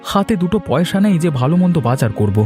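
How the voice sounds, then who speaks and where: A man's voice: fairly high pitch, sing-song, fast talker, over music. A man voicing a put-upon husband and father in a Bengali moral-story cartoon, anxious and plaintive. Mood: grumbling, worried. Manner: plaintive, grumbling.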